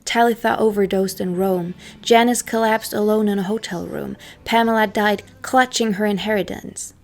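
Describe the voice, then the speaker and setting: Non-native english speaker lady telling a dark true story, gravelly fry in her voice, hushed and dramatic, trails off to near whisper. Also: medium pitch, steady tone, moderate pace. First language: not English. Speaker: history channel host. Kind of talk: scripted storytelling narration.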